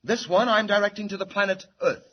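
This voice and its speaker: A man's voice: high voice, fairly quick, highs cut off. An actor playing an anxious, devoted alien scientist father in an old-time radio drama, speaking in an earnest, theatrical, urgent way. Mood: earnest, intent.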